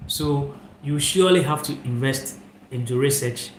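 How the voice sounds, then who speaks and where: A man's voice: mid-range voice, normal speed. Young man from ghana, channel host, rambling reflective talk, phone mic, echoey room, faint hiss. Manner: rambling, reflective, many fillers and asides. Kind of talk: live-stream talk, musing to viewers. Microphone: phone held sideways.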